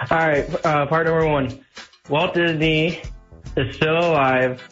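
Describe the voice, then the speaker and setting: A man phones in to a radio show with a slightly high voice, delivering quick, teasing jokes. The sound is dull, with faint music underneath. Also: fairly even intonation, fairly bright tone. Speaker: caller. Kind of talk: call-in chat.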